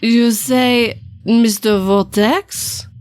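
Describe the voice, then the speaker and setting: A woman voicing an older, reluctant French male shopkeeper in a storytelling piece, with theatrical, halting phrasing and a French accent. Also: fairly high pitch, fairly even intonation, darkish tone, no room sound. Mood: intrigued. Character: older French male art shop keeper, cagey and reluctant.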